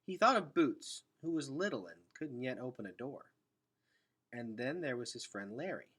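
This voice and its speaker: Male read-aloud narrator for children's fiction: bright, animated, slightly raspy, expressive. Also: mid-range voice.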